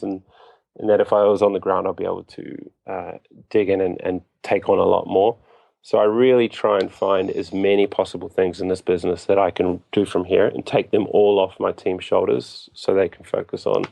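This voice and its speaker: A man in his thirties with a New Zealand accent, answering interview questions in a halting, reflective, self-deprecating way. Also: lowish voice, creaky, a little mellow, dry recording. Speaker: interview guest, business co-founder.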